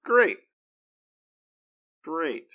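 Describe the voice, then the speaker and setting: An actor playing a curious, hesitant companion in conversational audio drama, speaking haltingly. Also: medium pitch, sing-song, dull audio. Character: curious, hesitant companion angling to learn supernatural craft.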